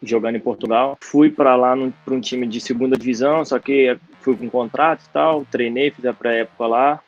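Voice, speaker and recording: A man's voice: mid-range voice, fairly even intonation, normal speed, soft background music. Young Brazilian man, mid-20s, easygoing guest, dull call audio. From Brazil, Brasília. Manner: easygoing, slangy. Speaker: guest footballer. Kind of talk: casual interview chat. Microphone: video call.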